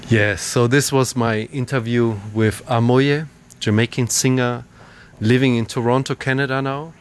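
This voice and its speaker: A man's voice: medium pitch, fairly even intonation, normal speed, clear, resonant tone. A man presenting a radio show in a casual, unscripted way, linking interviews.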